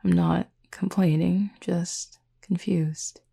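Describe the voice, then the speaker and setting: Woman voicing a husky, gravelly, reserved cupid roommate. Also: on the low side, steady tone, exaggerated voice. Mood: tender, touched. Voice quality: husky, breathy, often near whisper. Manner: formal, measured, gently wry. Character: reserved, formal, tender supernatural cupid roommate. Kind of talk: intimate audio roleplay acting to the listener.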